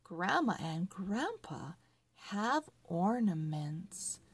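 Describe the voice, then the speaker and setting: A woman voicing a practical, reassuring mother in a Christmas storybook, with measured, careful phrasing. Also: mid-range voice, sing-song, husky, heavily acted.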